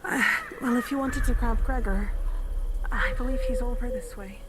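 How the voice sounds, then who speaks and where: A woman's voice: on the high side, normal speed, heavy background noise, lightly acted. A woman playing a polite, helpful villager who speaks hesitantly and deferentially in an improvised roleplay.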